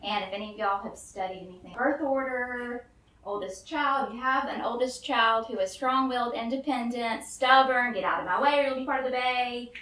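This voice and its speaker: A woman's voice: fairly high pitch, moderate pace, pushed and bright. A woman, sharing a nervous, earnest personal testimony before a live audience and laughing often. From Memphis, Tennessee, US. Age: 30s. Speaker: guest storyteller. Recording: roomy and distant with faint room noise.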